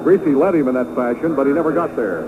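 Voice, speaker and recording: A man's voice: medium pitch, fast. Man calling football on tinny, noisy old radio. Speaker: play-by-play sports announcer. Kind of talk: live radio football commentary. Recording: vintage radio broadcast, narrow band, heavy noise.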